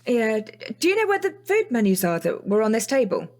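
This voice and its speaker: A woman's voice: a little high, big pitch swings, a little fast, darkish tone. An actress with a British accent playing a blunt, sweary young woman in a naturalistic audio drama. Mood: irritated, sarcastic. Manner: informal, exasperated, sweary. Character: Rachel, blunt, sweary young woman missing her partner.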